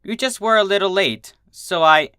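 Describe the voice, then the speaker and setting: A woman's voice: mid-range voice, normal speed, pushed and bright, clear, resonant tone, no echo, studio quality, voice pushed up, natural voice. A woman voicing a regal, motherly pony princess in a cartoon fan story, gracious, cooing and playfully amused.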